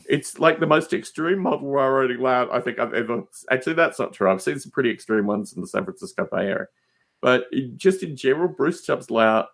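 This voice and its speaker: Male podcast guest, average pitch, conversational digressive storyteller, emphatic asides. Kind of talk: casual anecdotal chat.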